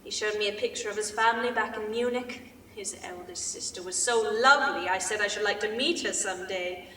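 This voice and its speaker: A woman reading the part of an earnest, wistful young British soldier in a staged letter narration, measured and expressive. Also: medium pitch, natural voice. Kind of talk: staged reader's theater, scripted letter narration. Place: large theater stage indoors. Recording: echoey stage, distant mic, noisy.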